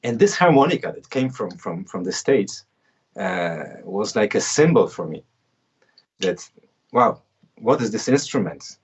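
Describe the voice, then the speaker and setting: A man with a Polish accent, guesting on a casual livestream interview from home, speaking haltingly with frequent restarts. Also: fairly low pitch, big pitch swings, slightly rough, lo-fi sound. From Poland. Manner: halting, many restarts and fillers. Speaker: guest musician.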